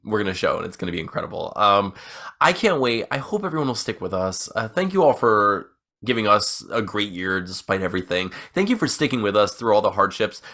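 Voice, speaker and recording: Guy in his 30s, bit low voice but bright, rambly podcast chat, still getting over being sick. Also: sing-song, a little fast. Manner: rambling, laughing, restarts often. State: recovering from illness. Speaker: podcast co-host. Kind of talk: casual chat.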